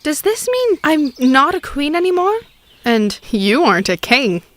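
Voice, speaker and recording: A woman playing a high-voiced, bossy queen. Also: very animated, measured pace, a bit bright, full character voice. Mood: haughty, exasperated. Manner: commanding, alarmist, haughty. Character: bossy, exasperated queen taking charge. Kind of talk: stage-style comic audio drama acting.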